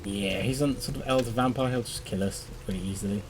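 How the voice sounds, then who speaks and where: A man's voice: on the low side, fast talker, background noise. A man giving casual, joking commentary as he plays and explains a video game, with a wry, playful manner. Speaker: gaming channel player and guide.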